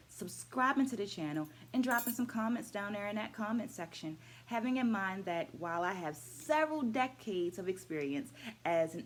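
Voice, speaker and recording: A middle-aged woman, a dance educator, talking through her takeaways from a book in a measured vlog, stacking her points in lists and asking viewers to comment. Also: mid-range voice. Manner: asks viewers questions and invites comments.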